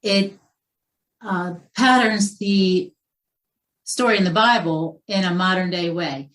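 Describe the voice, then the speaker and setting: A woman author talks through her latest novel in a loose, chatty interview style, stopping and restarting as she thinks. Her voice sits a little low for a woman. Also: slow pace, a bit bright. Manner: chatty, with frequent fillers and restarts. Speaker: author guest. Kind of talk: conversational interview.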